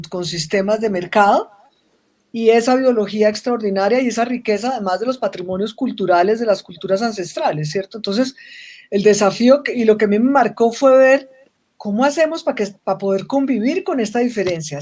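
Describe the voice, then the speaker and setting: A woman's voice: a little high, normal speed, darkish tone. A Colombian woman speaking as a webinar guest in a casual, reflective way, often restarting phrases and ending them with tag questions. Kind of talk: casual interview conversation.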